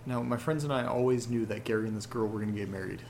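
Actor doing a gravelly young guy rambling into his podcast diary, audio drama, halting, faint noise behind him. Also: mid-range voice, darkish tone, lightly acted. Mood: wistful, wry. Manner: halting, rambling, self-deprecating. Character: rattled, rambling young man recording a personal podcast. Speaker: narrator character of a horror audio drama. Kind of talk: confessional audio diary monologue, conversational.

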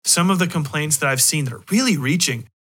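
A man's voice: fairly high pitch, a little fast, a bit bright, slightly rough. A man hosting a podcast, giving his opinions in a casual, rambling, emphatic way with plenty of swearing. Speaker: podcast host.

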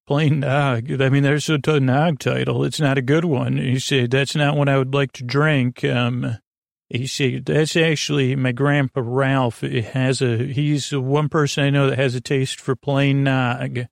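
A man hosting a bedtime podcast in a rambling, halting monologue, with a creaky voice, often repeating himself and trailing off. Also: mid-range voice, little pitch movement, no room sound, clean studio sound. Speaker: podcast host.

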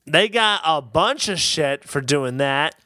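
Female podcast co-host, emphatic and opinionated, lively intonation, leisurely delivery, swears casually. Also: low voice, slow, dry recording, studio quality. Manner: sweary, mock-outraged, emphatic.

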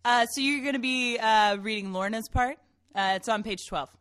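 A woman's voice: a little high, moderate pace, fairly bright tone, smooth, no echo, clean studio sound, natural voice. An actress playing a suspicious, pushy woman who writes and casts an amateur production, in naturalistic dialogue. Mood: businesslike. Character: suspicious, pushy amateur playwright running auditions. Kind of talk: naturalistic scripted drama dialogue.

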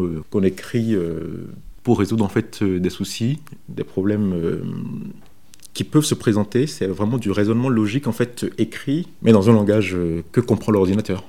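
A man in his thirties from Benin recounts his career informally, speaking in a halting way with frequent repetitions. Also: on the low side, warm tone. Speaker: software developer interviewed about his career. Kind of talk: informal first-person career account.

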